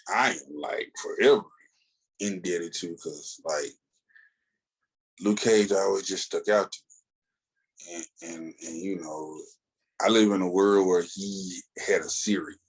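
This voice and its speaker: A man with a fairly low voice talks casually as a podcast co-host. He is opinionated and emphatic, uses plenty of slang, and drifts into asides as he reviews. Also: slightly rough, rough recording. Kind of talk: casual, opinionated comic book review chat.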